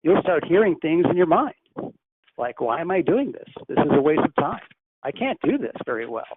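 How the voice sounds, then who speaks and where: A man speaking as a channeled spirit teacher, guiding a breathing meditation in a slow, deliberate, instructive way. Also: fairly high pitch, very animated. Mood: teaching. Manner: slow, deliberate, instructive, softly hushed in exercises. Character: ascended master spirit, calm, commanding teacher. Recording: tinny phone line, faint hiss.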